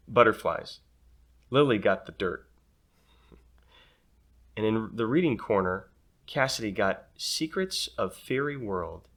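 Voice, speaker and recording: A man reading a children's story aloud in an expressive, playful way. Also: fairly low pitch. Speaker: storybook reader.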